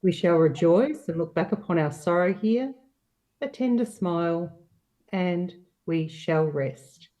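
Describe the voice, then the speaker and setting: A woman playing an earnest, patient, sorrowful young woman in an amateur play reading, speaking in a measured way. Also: lowish voice, animated, mellow. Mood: consoling, fervent. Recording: dull, distant microphone.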